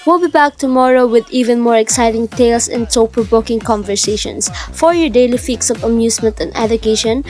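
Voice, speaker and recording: A woman's voice: on the high side, brisk, hardly any pauses, a little mellow. A female radio host speaks. Her delivery is scripted presenting, over faint music. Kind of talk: scripted radio programme sign-off.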